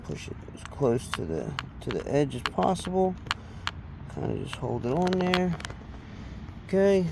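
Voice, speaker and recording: A man giving a casual, unscripted hands-on tutorial, speaking haltingly and trailing off as he works with his hands. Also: a little high, big pitch swings, gravelly, background noise. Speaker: DIY installation demonstrator.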